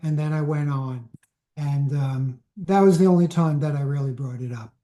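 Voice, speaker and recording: A man with a slightly high pitch but a very dark, mellow tone, sharing a memory in a support group. He speaks plainly and pauses now and then, heard over a video call. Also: muffled recording. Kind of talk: personal sharing.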